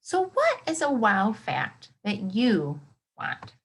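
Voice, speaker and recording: A woman's voice: on the high side, wildly swooping pitch, takes it slowly, gravelly, husky. A woman reading a nonfiction book aloud to children, expressively, with simple explanations and reactions as a teacher would.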